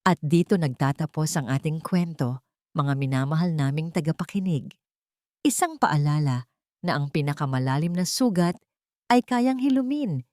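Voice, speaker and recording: Female story narrator, low register, earnest and measured, scripted sentimental read, very dry sound. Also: dark tone, no room sound.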